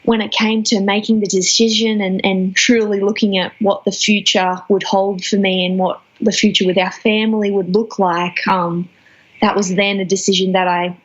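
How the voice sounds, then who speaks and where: A young woman in her twenties answering interview questions thoughtfully, often pausing and restarting phrases. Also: mid-range voice, highs cut off. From Australia. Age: 20s. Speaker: guest athlete.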